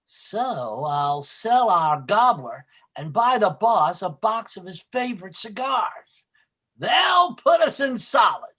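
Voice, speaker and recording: An actor playing a sly, hot-tempered working-class husband, excitable and sarcastic, in old-time radio sitcom style. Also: male voice, high voice, takes it slowly, slightly rough, exaggerated voice.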